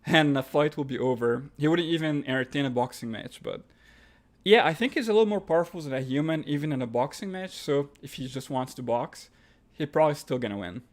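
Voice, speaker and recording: A man's voice: a little high, lively intonation, brisk. Egyptian-accented man chatting on a live stream. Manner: reads questions aloud then answers offhand, with fillers and restarts. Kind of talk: casual live-stream chat answering viewer questions.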